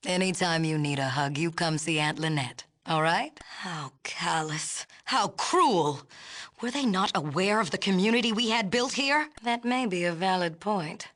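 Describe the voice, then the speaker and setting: Haughty female first citizen, video game dialogue, lowish pitch, precise formal diction, condescending. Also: lively intonation, unhurried, a bit bright. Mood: gracious, patronising, then grieved, indignant. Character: haughty middle-aged female city leader, imperious and condescending.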